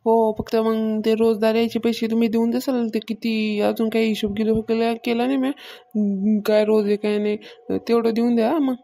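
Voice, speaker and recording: A woman's voice: a little high, level tone, moderate pace, dark tone, natural voice. A woman playing a bossy, hardworking farmer's wife in a comedy cartoon, plain-spoken and chiding, with a rural Maharashtra Marathi accent. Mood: businesslike.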